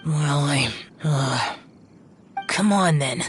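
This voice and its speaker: A woman's voice: low voice, extremely slow. A woman voicing a stoic, brooding young male immortal demon hunter in a video game, solemn, formal and aloof. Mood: reluctant. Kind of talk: video game character acting. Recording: music behind the voice.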